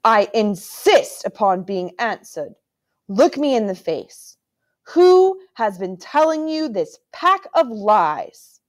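A woman's voice: medium pitch, sing-song, slow pace, hardly any pauses, mellow. A woman putting on a stern, thundering king. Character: stern cold male king, menacing uncle.

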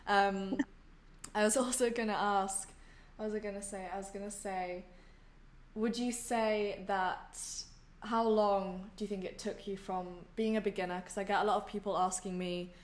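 A young woman hosting an interview over a video call, asking long, rambling questions. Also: mid-range voice. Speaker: host. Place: room at home. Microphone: headphones on video call.

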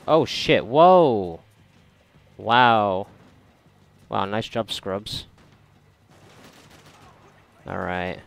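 Casting: male gamer, lowish gravelly fry, sarcastic and sweary off-the-cuff playthrough commentary. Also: lively intonation, very slow, long pauses, no room sound. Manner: sarcastic, profane, muttering to himself. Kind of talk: casual gameplay commentary.

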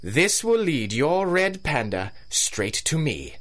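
Colonel villain, radio drama: genteel menace, pompous delivery, hugely animated intonation. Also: male voice, fairly high pitch, slow pace, full character voice. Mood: gloating. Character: pompous British colonel, big-game hunter villain, coldly courteous.

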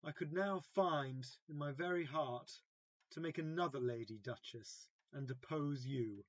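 A man playing a vain, gullible gentleman in an amateur audio-drama comedy, speaking in a theatrical, self-important way. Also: on the high side, measured pace, no echo, muffled recording. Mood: aggrieved.

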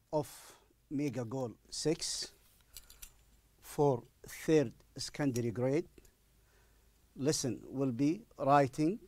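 Mellow Arabic-accented male English teacher. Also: mid-range voice, dry recording. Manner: deliberate, repeats phrases for emphasis. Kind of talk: recorded classroom-style lesson. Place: indoor studio in front of a presentation screen. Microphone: lapel microphone.

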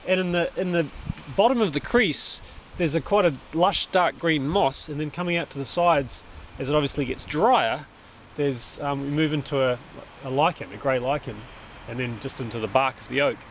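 A man's voice: fairly high pitch, normal speed, slight background noise. A man in his thirties with an Australian accent, thinking aloud as he explains a tree in his backyard, often restarting his sentences. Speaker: permaculture designer. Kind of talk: casual explanatory vlog. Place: outdoors in a backyard under a large tree. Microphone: handheld camera at arm's length.

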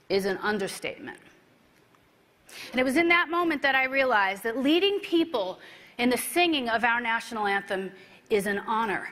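Female motivational speaker, 30s, fairly high and bright, rehearsed keynote storytelling in a reverberant hall. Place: convention stage, indoors. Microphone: clip-on lapel mic. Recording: echoey large hall.

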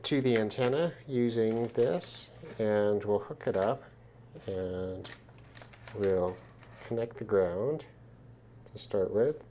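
A man giving an informal hands-on gear demonstration, thinking aloud in a measured way as he goes. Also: on the low side, warm tone, slight background noise. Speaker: hobbyist demonstrating a shortwave radio test. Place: indoors at a work desk.

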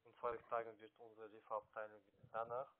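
A man with a foreign accent, a non-native speaker, giving a halting, hesitant telephone sales pitch. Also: completely flat delivery. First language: not German. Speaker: telesales call centre agent. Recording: narrow, tinny phone line.

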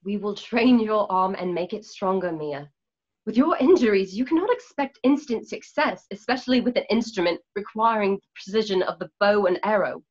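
An actress playing a calm, firm weapons mentor with formal, measured wording in a radio play. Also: female voice, medium pitch, lightly acted. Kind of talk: remote radio play acting. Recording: dull lo-fi home microphone.

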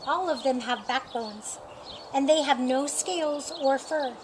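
A middle-aged woman reading a children's picture book aloud in a deliberate, expressive storytime manner. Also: high-pitched. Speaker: storytime reader. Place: outdoors in a sunny yard by a painted wall. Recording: outdoor noise with birdsong. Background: birdsong and outdoor ambience.

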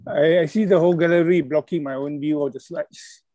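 A middle-aged man from Malaysia giving an online conference talk over a video call, halting and hesitant, with many fillers and apologies. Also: a little high, steady tone, mellow, no echo, clean studio sound. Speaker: conference speaker. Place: indoors at a computer.

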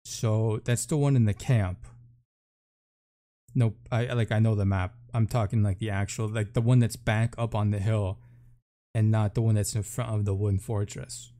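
A man's voice: on the low side, fairly even intonation, moderate pace, mellow. A man reading patch notes aloud as a gaming video host, with offhand casual commentary and thinking aloud between items.

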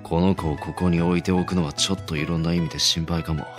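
A man's voice: low voice, level tone, fast, music in the background, close to an everyday voice. A man voicing a blunt, sensible young delinquent in an audio drama. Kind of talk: Japanese boys' audio drama.

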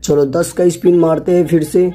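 A male gaming host with an Indian accent talks casually through his gameplay. His voice sits a little high in pitch yet sounds very dark and mellow. He chats directly to viewers and tends to repeat his phrases. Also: level tone, normal speed. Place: voice-over on a screen recording.

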